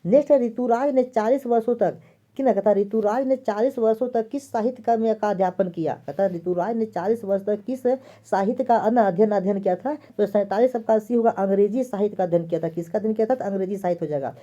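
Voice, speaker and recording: Woman exam tutor, very dark voice, muffled lo-fi audio, goes through answers and repeats each one. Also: mid-range voice, fast. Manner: repeats each question and answer several times. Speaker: exam prep teacher. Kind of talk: answer key walkthrough.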